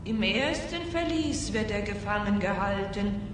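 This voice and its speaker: A woman voicing an obedient enchanted boomerang, giving singsong rhymed reports with heavy echo in a fantasy radio play. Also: animated, a little slow. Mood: eager, dutiful. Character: enchanted talking boomerang, obedient servile magical messenger. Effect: heavy echo reverb. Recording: distant, heavily echoing, music behind.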